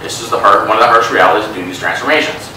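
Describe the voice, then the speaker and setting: A middle-aged man leading an interactive talk, animated, gesturing and filling his speech with asides and fillers. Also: fairly low pitch, rapid-fire. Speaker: speaker. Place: hotel meeting room with a small group. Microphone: lapel mic, filmed from across the room. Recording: echoey room, from a distance, faint hiss.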